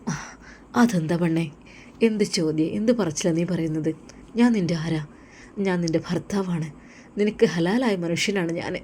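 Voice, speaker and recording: A woman voicing a gentle, caring husband who speaks softly and tenderly to his wife. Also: on the low side, normal speed, pauses often, slight background noise, close to an everyday voice. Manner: soft, tender, reassuring.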